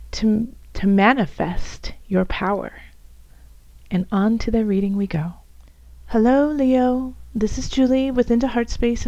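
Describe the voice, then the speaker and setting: A woman with a raspy, hoarse voice from bronchitis, softly reading tarot cards for her viewers, at times almost whispering. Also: a little high, dry recording, dull audio. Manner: soft, at times whispery, with fillers and restarts. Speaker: tarot reader.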